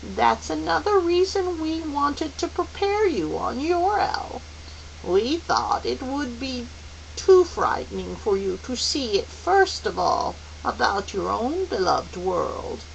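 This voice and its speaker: A woman's voice: high voice, very animated, slow, vocal fry, muffled recording, pitched above the performer's own voice, exaggerated voice. Woman as a lilting, motherly old celestial lady. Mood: gently explaining. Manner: gentle, lilting, endearing. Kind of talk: audiobook character voice. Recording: faint hiss.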